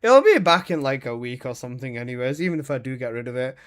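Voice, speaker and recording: A man's voice: mid-range voice, expressive, quick pace. A man in his twenties streaming a game, chatting casually with his chat and bantering with lots of swearing and laughter. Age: 20s.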